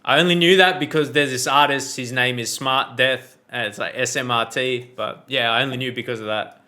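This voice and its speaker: A man's voice: mid-range voice, fairly even intonation, brisk, bright, forceful tone, a little hiss or hum. A man in his thirties commenting casually on a comedy clip, chatting with viewers and laughing along. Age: 30s. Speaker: reaction video host.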